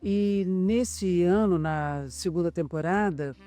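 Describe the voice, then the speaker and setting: A woman's voice: fairly low pitch, slow, warm tone. A middle-aged Brazilian woman, an actress and co-writer, speaking in a making-of interview. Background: background music.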